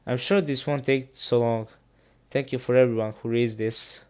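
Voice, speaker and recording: Smooth-voiced man. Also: mid-range voice, normal speed. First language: Serbian. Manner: earnest, steady reading with small restarts. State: sick. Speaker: YouTube narrator. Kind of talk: first-person horror story narration.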